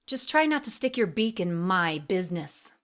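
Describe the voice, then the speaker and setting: A woman voicing a menacing, commanding female assassin with a sharp, sneering delivery, in an audiobook. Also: on the low side, very animated, moderate pace. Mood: scornful. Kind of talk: audiobook character voice.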